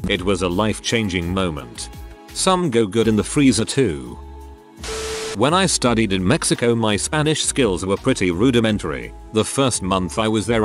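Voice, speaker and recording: A man reading short first-person anecdotes as scripted narration for an online story compilation. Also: on the low side.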